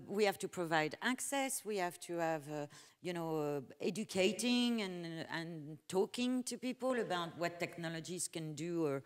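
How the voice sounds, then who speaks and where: Low-voiced woman moderating a panel. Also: clean tone, big-room sound. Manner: halting, many fillers and restarts. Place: conference hall stage.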